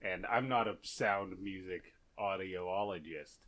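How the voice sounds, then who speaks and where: A man co-hosting a podcast, talking loudly and rambling in casual jokes in an echoey room. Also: sits low, slow. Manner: loud, joking, rambling. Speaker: podcast co-host. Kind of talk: casual chat.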